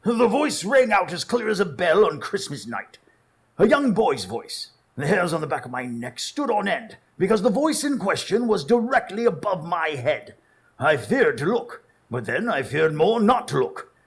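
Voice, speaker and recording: An earnest Victorian doctor, played by a man in a radio play, telling his tale dramatically. His voice is gravelly and on the high side, with very lively intonation. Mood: solemn, suspenseful. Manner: measured, dramatic storytelling. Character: earnest, literary male Victorian doctor recounting adventures. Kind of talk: radio drama narration and acting.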